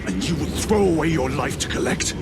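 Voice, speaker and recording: An actor playing a battle-hardened, dutiful space marine lieutenant in a video game, forceful and commanding. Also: male voice, a little high, big pitch swings, fairly quick. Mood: stern rebuke. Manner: forceful, declamatory. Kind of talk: dramatic video game voice acting. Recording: loud music and battle noise behind.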